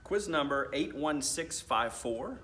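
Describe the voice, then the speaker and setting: An American man, a school principal in his thirties, reading a storybook aloud to children with deliberate phrasing and addressing them directly. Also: fairly high pitch, expressive, bright, forceful tone, a little hiss or hum. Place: school library, indoors.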